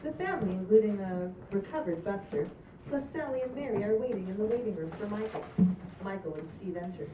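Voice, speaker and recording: A woman's voice: mid-range voice, expressive, a little fast. A woman reading stage directions as scripted narration at a table read. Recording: echoey, distant, dull, slightly noisy room.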